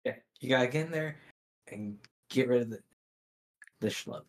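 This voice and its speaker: A man's voice: medium pitch, normal speed. A man playing in a tabletop game, voicing his coach character in a casual way. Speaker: player.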